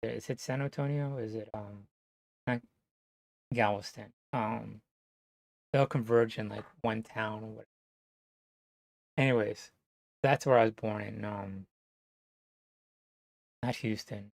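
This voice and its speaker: A man from New Jersey talking to camera in a rambling, casual monologue, halting and full of fillers, swearing now and then. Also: fairly low pitch, fairly even intonation, gravelly, a little mellow, no echo. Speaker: vlogger.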